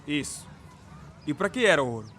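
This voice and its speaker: A male actor playing a brisk, strict school director with a formal, commanding manner in a radio drama. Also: a little high, very animated, fairly bright tone, soft background music, some chatter behind. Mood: brisk, official.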